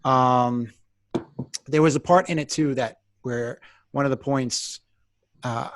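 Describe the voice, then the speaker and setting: A man's voice: medium pitch, slow. A man hosting a casual interview, eagerly recapping his guest's stories and laughing along. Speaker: podcast host.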